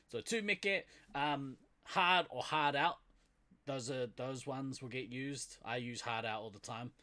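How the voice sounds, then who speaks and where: A man's voice: medium pitch, takes it slowly, sharp tone. A young man with a New Zealand accent co-hosting a podcast, playfully explaining slang and laughing often. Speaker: podcast co-host. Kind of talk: casual chat.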